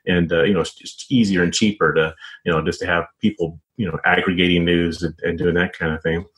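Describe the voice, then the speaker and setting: Low-voiced man giving interview answers. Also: flat delivery, fairly quick, fairly bright tone, rough recording. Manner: hesitant, wordy, frequent "you know" and "sort of". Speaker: guest, investigative journalist and author.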